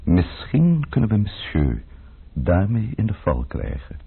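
An actor playing a grave, ominous letter writer in a radio play, speaking in measured phrases with a gravelly, husky voice. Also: male voice, fairly low pitch, wildly swooping pitch, exaggerated voice. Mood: scheming. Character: grave male letter writer warning of revolution and plotting. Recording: dull lo-fi old radio recording. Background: faint music.

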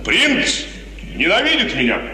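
A man's voice: high voice, wildly swooping pitch, measured pace, pushed and bright, heavily acted. Stern father, wildly swinging delivery, echoing. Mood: indignant. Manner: declamatory, emphatic. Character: stern, proud, suspicious father of a grown daughter. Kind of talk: 1950s Russian radio theatre acting. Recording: noisy, reverberant 1950s radio recording, distant.

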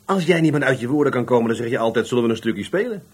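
Slightly husky, grumbling police adjutant. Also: male voice, mid-range voice, lively intonation, racing. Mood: teasing. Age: middle-aged. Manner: bantering, sardonic, blustery. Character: grumbling, teasing, self-important middle-aged police adjutant. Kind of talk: radio drama acting.

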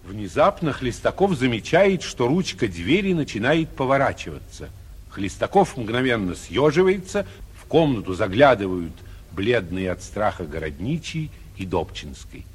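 A man narrating stage directions for a radio play in a husky voice, on an old 1940s recording with long reverb. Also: medium pitch, very animated, moderate pace.

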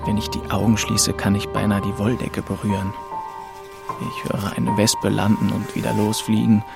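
A man's voice: on the low side, monotone, moderate pace, vocal fry. An actor playing a melancholy young man who narrates his road trip in an introspective, wistful way, in a radio play. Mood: wistful, brooding. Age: young adult. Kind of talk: first-person radio play narration and dialogue. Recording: music behind the voice.

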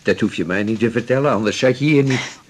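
An actor playing a blunt but sympathetic man in a radio drama, talking colloquially with teasing, halting questions. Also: mid-range voice, close to an everyday voice. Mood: teasing, probing. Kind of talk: naturalistic radio drama dialogue.

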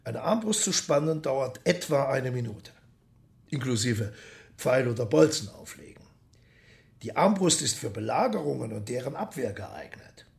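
A man's voice: medium pitch, big pitch swings, normal speed, creaky. A man playing a matter-of-fact expert in a radio drama, explaining precisely and instructively like a documentary narrator. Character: matter-of-fact male expert on medieval weaponry.

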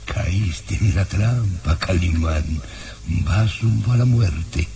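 A man's voice: low-pitched, slow pace, creaky, dark tone, exaggerated voice. An actor playing a treacherous baron and father who feigns concern, in old radio drama style with theatrical, persuasive urging. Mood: gloating, sinister.

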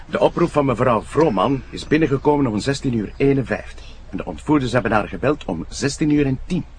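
An actor playing a dutiful, precise and formal police sergeant with a Flemish accent in a radio crime drama. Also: male voice, medium pitch, expressive, a little fast, close to an everyday voice. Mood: factual. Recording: noisy ambience behind.

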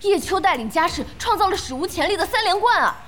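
Excitable high-voiced young woman. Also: quick pace. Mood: indignant. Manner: expressive, exclamatory. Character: excitable young female gamer and devoted fan. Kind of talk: audio drama acting. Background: background music.

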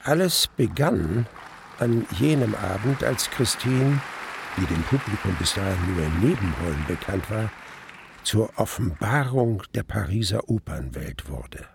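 An actor playing an old grieving man who narrates his haunted memories of a lost love in a radio play, halting and reflective. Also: fairly low pitch, animated, gravelly, background noise. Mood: reflective, storytelling. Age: older. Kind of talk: dramatic first-person radio play narration.

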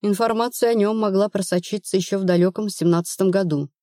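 A woman narrator playing a brisk, rational female investigator in an audiobook, blunt and assured. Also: medium pitch, fairly even intonation, mellow, dry recording, studio quality, natural voice. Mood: insistent. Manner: matter-of-fact, assured.

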